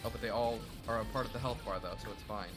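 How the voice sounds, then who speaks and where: A man's voice: fairly low pitch, quick pace, loud music behind the voice. A man bantering casually as he follows along with a video game. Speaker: co-player in a group fandub playthrough.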